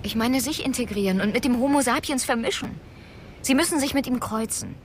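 An actress as an earnest female scientist in a radio drama, defensive and insistent as she explains. Her voice sits fairly high, with faint ambient noise behind it. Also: brisk, natural voice. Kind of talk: German radio drama acting.

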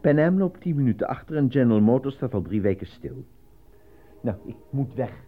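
An actor in a Dutch radio play voicing a proud, defensive, self-important out-of-work actor and husband. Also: male voice, mid-range voice, very animated, moderate pace. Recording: dull lo-fi radio recording, faint music.

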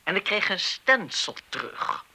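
An older, embittered schoolmistress in a radio play. Her voice is very bright and forceful, with animated swings of pitch. She lectures indignantly, like someone sure of her rules and weighed down by guilt. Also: unhurried, creaky, husky, heavily acted. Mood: righteous anger. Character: embittered retired schoolmistress, stern, righteous, haunted by guilt. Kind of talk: Dutch radio play acting.

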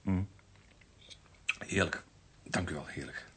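A man's voice: low-pitched, slow, pushed and bright, breathy edge, heavily acted. A man acting a deferential junior detective in a radio play, speaking politely. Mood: polite, careful. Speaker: actor in a radio drama.

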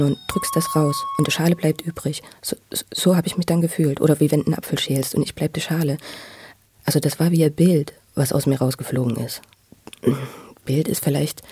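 A man giving halting personal testimony in conversation, repeating words as he searches for how to say things. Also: a little high, warm tone, slightly rough, dry recording. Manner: stammering word repetitions, searching for words. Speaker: interviewee recounting his own experience.

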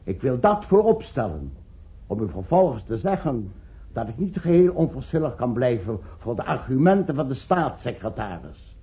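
A man acting an earnest, diplomatic professor in a Dutch radio play, speaking in formal, measured oratory. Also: mid-range voice, sing-song, mellow, close to an everyday voice. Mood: earnest, persuasive. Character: earnest, diplomatic professor pleading with scientific colleagues. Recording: dull, lo-fi old radio recording.

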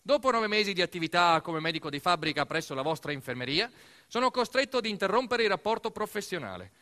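A man's voice: high-pitched, animated, fast talker, sharp tone, in a large hall. A man playing an indignant young factory doctor, declaiming a formal resignation in a stage monologue. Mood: firm, indignant.

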